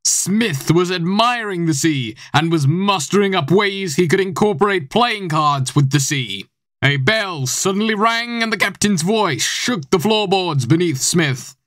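A man's voice: high-pitched, expressive, measured pace, fairly bright tone, dry recording, clean studio sound. A teenage boy narrating a scripted audio play in a theatrical storytelling manner.

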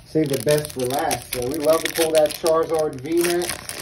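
A man casually chatting while opening trading card packs, relaxed and chuckling as he calls out cards. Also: normal speed, noisy background. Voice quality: says he has a very deep voice. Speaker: channel co-host. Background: faint crinkling of card packs.

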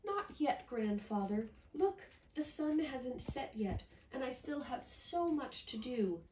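A woman voicing an eager little girl who keeps finding reasons not to go to bed, in a high pleading voice. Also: expressive, takes it slowly, mellow, reverberant, recorded from a distance, a little hiss or hum, low-quality recording.

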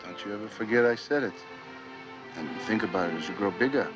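A man's voice: fairly low pitch, fast, close to an everyday voice. Guy acting a solemn pioneer dad on an old tv western, music behind him, dull sound. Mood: earnest, solemn. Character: earnest, solemn frontier father, devoted to his land. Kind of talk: television period drama acting.

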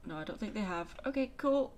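A woman's voice: mid-range voice, expressive, darkish tone, slight background noise. A young woman hosting a game let's play, chatting casually and narrating her own moves. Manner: chatty, self-narrating, quick laughs. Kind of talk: casual gameplay commentary.

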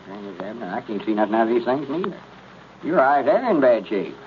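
An actor playing a know-it-all, easily exasperated old storekeeper with a rural Southern accent in a 1930s radio comedy. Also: male voice, medium pitch, animated, a little fast, close to an everyday voice. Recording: dull, noisy old radio recording.

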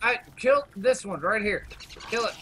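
An excitable co-op gaming partner chatting casually and blurting out short exclamations during play. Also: medium pitch, moderate pace, a little hiss or hum. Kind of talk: casual gameplay chat.

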